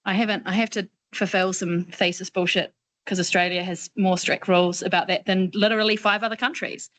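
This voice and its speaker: A woman's voice: medium pitch, steady tone, a little fast, a bit bright, a little hiss or hum. A woman from New Zealand with a New Zealand accent, asking a long, rambling and candid question on a video call webinar. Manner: chatty, rambling, candid and informal. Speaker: audience member asking a question. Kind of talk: webinar discussion.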